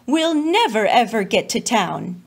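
Exasperated storybook dad done by a woman: stern, scolding, firm, with swooping pitch. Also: big pitch swings, a little slow, slightly rough, exaggerated voice. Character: stern, exasperated father scolding his young son. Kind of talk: children's storybook reading.

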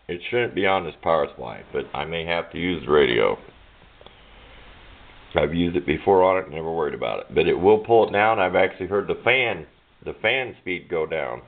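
A plainspoken, rambling man giving an informal hands-on walkthrough of a DIY project, recorded indoors on a handheld camera mic. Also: deep voice. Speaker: DIY electronics hobbyist and presenter. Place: indoors at a home workbench. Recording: muffled, narrow phone-like camera audio.

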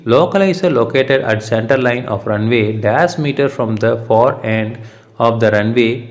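A male tutor reads exam quiz material aloud at a medium pitch. His delivery is methodical and repetitive, moving through questions, lettered options and answers in the same set pattern. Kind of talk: reading out multiple-choice quiz questions and answers.

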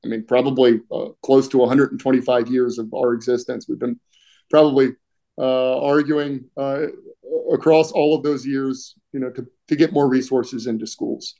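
Middle-aged man, mellow voice, throat-clearing. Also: medium pitch, steady tone, moderate pace. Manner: conversational, many fillers, clears throat often. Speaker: union political director, guest. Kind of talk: casual video-call interview. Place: home room, indoors.

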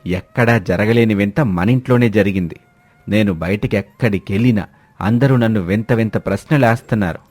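A man's voice: on the low side, moderate pace, darkish tone, breathy edge, pitched below the performer's own voice. A man voicing an exasperated, grumbling father-in-law in a cartoon family story. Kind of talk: animated moral-story voice acting.

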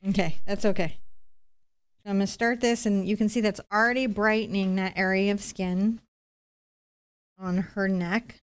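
A middle-aged woman leads a live painting lesson in a smooth, clear voice at a medium pitch. She talks conversationally, explaining each step as she goes and adding chatty, reassuring asides. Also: fairly even intonation, normal speed, pauses often. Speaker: painting instructor, host.

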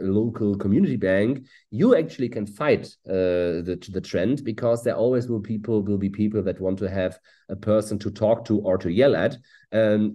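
A man in his forties with a German accent answers interview questions over a video call. His voice is low and mellow, and he speaks quickly, with halting fillers between his points. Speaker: guest author. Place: room with purple lighting.

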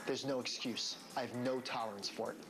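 An American man in his thirties, a high school principal, speaking in a stern, authoritative way. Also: fairly even intonation, brisk, over loud music. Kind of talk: reality show narration and firm talk with a student. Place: school offices and locker room. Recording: noisy reality TV location sound.

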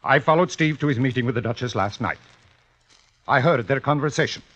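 An actor playing a proud, impassioned old Italian fencing master in a dramatic, pleading 1950s radio style. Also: male voice, medium pitch, fairly quick, a bit bright, close to an everyday voice. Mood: resigned. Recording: dull vintage 1950s radio recording.

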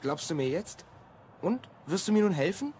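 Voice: medium pitch, big pitch swings, normal speed, darkish tone, a little hiss or hum, lightly acted. A performer playing a secretive boy of twelve from the future in an audio drama, explaining things confidingly. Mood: urgent. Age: child character, twelve. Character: Rhonn, secretive stranded boy time traveller from the future. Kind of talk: German audio drama acting.

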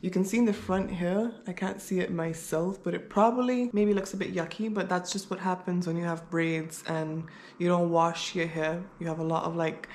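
Lower-pitched woman vlogger in an echoey room. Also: steady tone, moderate pace, darkish tone. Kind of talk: casual chat to camera. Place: bare-walled room at home, indoors. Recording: roomy echo, clear background noise.

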